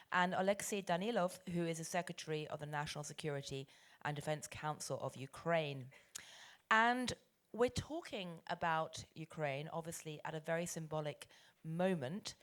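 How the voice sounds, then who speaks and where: British woman running a panel, low voice, quick and articulate with lots of ums. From Britain. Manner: brisk, articulate, with frequent ums. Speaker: panel moderator. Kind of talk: moderating a panel discussion.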